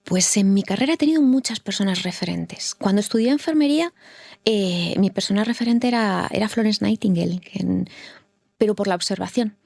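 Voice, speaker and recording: A woman's voice: mid-range voice, brisk, creaky, darkish tone, no room sound. A middle-aged woman from Madrid, a fertility nurse giving thoughtful interview answers and often repeating words as she searches for them. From Madrid, Spain.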